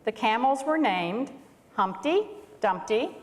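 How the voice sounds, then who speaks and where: A woman's voice: on the high side, very animated, takes it slowly. Woman reading aloud in an echoey church. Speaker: reader at a church. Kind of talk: children's storybook read aloud to a congregation. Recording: echoey hall with long reverb.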